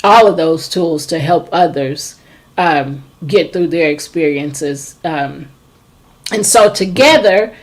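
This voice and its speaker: Middle-aged woman, talk-show host and coach, very slow hesitant delivery. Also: deep voice. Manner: slow, hesitant, many ums. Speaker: trauma and forgiveness coach. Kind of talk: casual chat.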